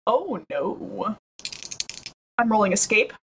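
A woman's voice: medium pitch, big pitch swings, slow pace, background noise. Playful woman at a tabletop game. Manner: playful, wry. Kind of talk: casual, jokey game table chat.

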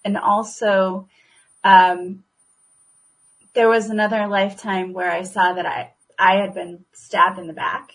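Chatty husky-voiced woman guest. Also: mid-range voice, level tone, a little slow. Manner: chatty, laughs often, fillers. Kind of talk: casual conversation.

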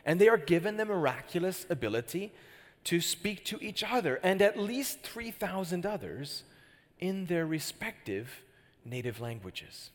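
Chatty pastor in a reverberant hall. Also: male voice, high voice, sing-song, unhurried. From Canada. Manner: chatty, jokey, asks the crowd questions. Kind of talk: sermon.

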